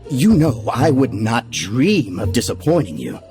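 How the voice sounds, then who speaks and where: An actor playing an oily, scheming middle-aged merchant in a video game, obsequious and placating. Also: male voice, medium pitch, big pitch swings, measured pace, music in the background. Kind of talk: video game character dialogue.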